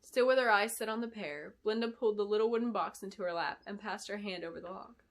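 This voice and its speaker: A woman narrating a fantasy novel in an expressive, deliberate storytelling style, as an author reading aloud. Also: mid-range voice. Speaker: author reading her book. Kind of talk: dramatic scripted fantasy narration.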